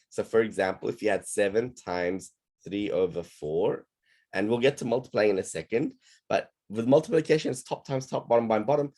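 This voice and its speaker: A man teaching maths on an online class call, his voice going hoarse, explaining patiently step by step. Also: medium pitch, darkish tone.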